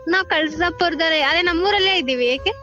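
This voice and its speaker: A high-voiced woman with a Kannada accent from Karnataka talks on a phone call. She sounds blunt and impatient, often questioning the other person, and music plays clearly behind her. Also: brisk. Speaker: prank call recipient. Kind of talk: casual phone conversation.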